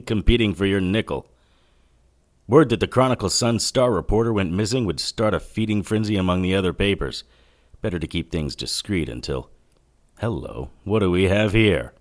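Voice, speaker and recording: Hardboiled supernatural sleuth, very low voice, dry wit, clipped noir narration, pulp audio drama. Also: male voice. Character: wry hardboiled male 1940s supernatural private detective. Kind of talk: noir first-person scripted narration.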